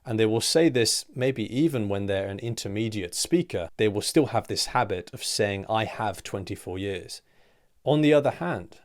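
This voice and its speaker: Man, slightly low voice, English teacher, measured explanatory read, phrases fade softer at the end. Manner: clear, deliberate teaching delivery with rhetorical questions. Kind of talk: scripted explanatory lesson.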